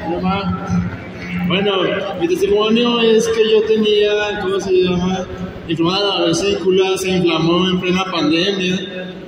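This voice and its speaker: A man from Aguascalientes giving a halting testimonial to an audience. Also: sits high, little pitch movement, noisy background. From Aguascalientes, Mexico. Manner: hesitant, halting. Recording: echoey hall from far off with crowd.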